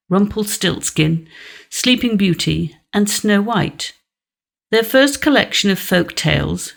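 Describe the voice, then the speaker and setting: Female audiobook narrator for scripted material, unhurried delivery, gravelly creak, mid-range pitch.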